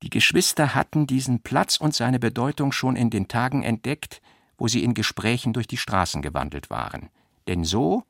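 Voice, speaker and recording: A man reading literary narration for a radio play in a measured, carefully articulated way. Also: mid-range voice, creaky, dry recording, clean studio sound.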